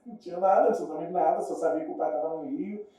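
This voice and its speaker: A man's voice: on the high side, rapid-fire, warm tone. Muffled, echoey man with Rio accent. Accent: Rio de Janeiro Brazilian Portuguese. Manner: informal, slangy. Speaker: podcast guest. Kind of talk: casual chat, storytelling. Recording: muffled, very echoey room, distant mic.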